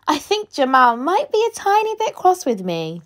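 High-pitched, animated woman reading a storybook. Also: moderate pace. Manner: animated, dramatic delivery. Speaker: storybook reader. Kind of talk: children's picture book read-aloud, expressive storytelling.

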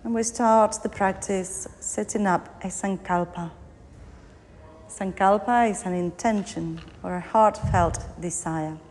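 A woman teaching yoga nidra, guiding a meditation in short phrases with pauses between them. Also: medium pitch, a little mellow. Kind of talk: guided meditation, body scan narration. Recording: long hall reverb, faint background noise.

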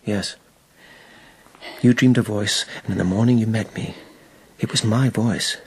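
An actor in a radio drama playing an earnest, lovelorn police lieutenant of old Japan, husky and quietly intense. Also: male voice, fairly low pitch, steady tone, measured pace, a little hiss or hum. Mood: earnest, pleading. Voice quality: husky, breathy.

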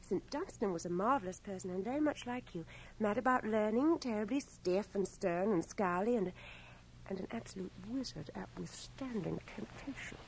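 A woman's voice: mid-range voice, lively intonation, moderate pace, slight background noise, natural voice, poor audio. An actress playing a forthright, affectionate young woman in a radio drama, teasing, direct and articulate. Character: forthright, playful, affectionate young woman, wartime nurse and lover. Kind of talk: 1980 radio drama acting. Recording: cassette transfer of radio drama, faint music.

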